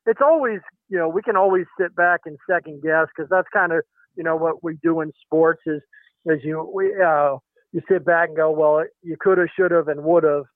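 A middle-aged pitching coach speaking by phone, halting, with many fillers and restarts. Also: male voice, no echo. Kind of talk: phone interview. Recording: tinny phone line.

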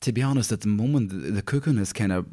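Male interview guest, Northern Irish accent, slightly low voice, friendly and talkative, informal storytelling. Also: quick pace, dark tone. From County Derry, Northern Ireland. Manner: chatty, friendly, frequent you-knows. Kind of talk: casual reminiscing interview chat.

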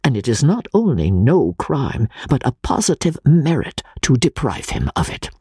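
Man acting an impassioned Italian refugee, husky and secretive. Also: medium pitch, big pitch swings, normal speed, mellow, no room sound, studio quality, full character voice. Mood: solemn. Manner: grave, impassioned, oratorical. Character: intense Italian political refugee confiding a dread secret. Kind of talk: dramatic audiobook reading.

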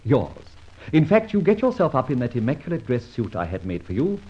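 A cocky gentleman thief. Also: mid-range voice, huge pitch swings, husky. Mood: scheming, playful. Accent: Australian. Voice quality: brisk, theatrical, witty. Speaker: lead character. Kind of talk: 1950s radio drama acting. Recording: dull old radio recording, faint hiss.

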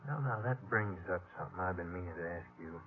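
An actor playing a steady, guarded stagecoach station hand held captive in a 1950s radio western. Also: male voice, sits low, fast, slight background noise, natural voice. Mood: curious. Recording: muffled old 1950s radio recording.

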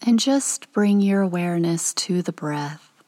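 A woman leading a guided meditation in a calm, gentle, soothing way, with soft and at times breathy delivery and pauses between instructions. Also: fairly low pitch.

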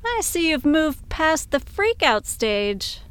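A woman's voice: high-pitched, sing-song, slow, fairly bright tone, full character voice. A woman playing a dry, nerdy scientist who is unfazed by wonders, matter-of-fact, in an audio drama. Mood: wry. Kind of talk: naturalistic audio drama acting.

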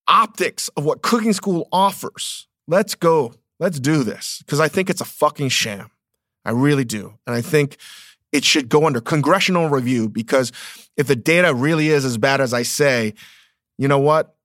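A man's voice: a little high, normal speed, a bit bright, no echo, clean studio sound. A man in his forties hosting a podcast, ranting in a profane, worked-up way and then chatting casually with a guest. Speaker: podcast host and chef.

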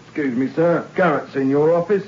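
A man's voice: a little high, brisk, lightly acted. An actor playing a loyal male prison warder who answers his governor respectfully and matter-of-factly in a 1960s radio drama. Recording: dull lo-fi old radio recording with faint hiss.

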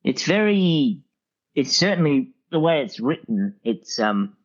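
A man speaking as an interview guest, explaining conversationally in measured, hesitant phrases on dull, band-limited audio. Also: mid-range voice, sing-song. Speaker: podcast guest, audiobook expert.

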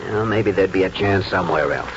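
A man's voice: low voice, brisk, a little hiss or hum, natural voice. An actor playing a weary, self-doubting middle-aged country lawyer, a man in a 1940s radio drama. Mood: weary, discouraged. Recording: muffled 1940s radio broadcast, music.

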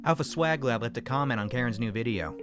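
A man's voice: medium pitch, quick pace, music in the background, natural voice. An actor playing an irritable, sardonic man in an audio drama, with a grumbling delivery. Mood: absorbed. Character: irritable, sardonic partner obsessed with online views.

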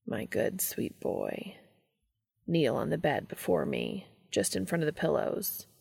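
Woman doing a low, hushed, controlling man, slow measured whispers, gravelly edge, deep tone. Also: animated, heavily acted. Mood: hushed, commanding. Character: commanding, tender adult man, dominant lover.

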